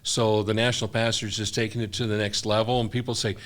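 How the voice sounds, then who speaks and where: A man's voice: lowish voice, flat delivery, quick pace, a bit bright. An older man, a missionary guest, talking earnestly in casual conversation, often restarting his sentences.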